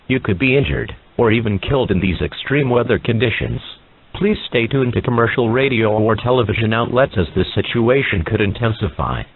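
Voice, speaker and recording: A man reading a scripted emergency broadcast announcement in a formal, measured manner, as an alert announcer. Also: medium pitch, expressive, breathy edge. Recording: muffled narrowband radio-like sound.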